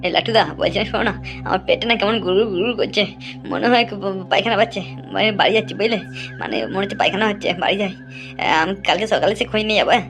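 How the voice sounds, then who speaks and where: A woman acts out a timid young male cartoon sidekick, stuttering and whining out excuses. The talk is fast and sits at a medium pitch for a woman. Light music runs underneath. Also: natural voice. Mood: nervous, wheedling. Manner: stammering, nervous, wheedling. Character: cowardly, stammering young man, whiny excuse-making sidekick. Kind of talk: comic cartoon dub.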